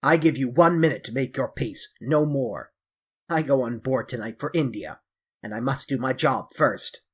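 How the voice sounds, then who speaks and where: A man voicing a grim, vengeful sailor who barks short, loud threats, with a husky voice. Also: mid-range voice, normal speed, lightly acted. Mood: menacing. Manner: loud, threatening, clipped commands. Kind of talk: audiobook character voice.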